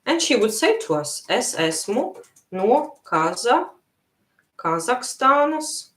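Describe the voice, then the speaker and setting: A woman with a Latvian accent teaching a live language lesson, slowly repeating phrases for her learners. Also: a little high, sing-song, a bit bright, reverberant.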